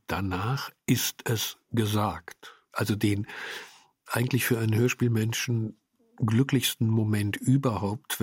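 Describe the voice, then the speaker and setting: A man giving a reflective interview statement about his work as a radio drama maker, phrasing deliberately and emphatically in fragments. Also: lowish voice, breathy edge, no room sound, clean studio sound.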